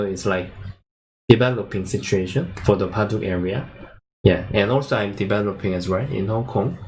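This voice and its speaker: A young man from South Korea, a native Korean speaker with a Korean accent, chats casually in halting English as he hosts a livestream as a Go teacher and answers viewers. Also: on the low side, a little mellow. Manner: halting, non-fluent English phrasing. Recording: clearly noisy livestream audio.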